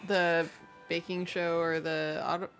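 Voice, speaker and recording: A woman's voice: on the low side, little pitch movement, slow, music in the background. A woman co-hosting a livestream with casual, playful banter and comments read from viewers. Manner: playful, laughs easily. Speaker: live stream co-host.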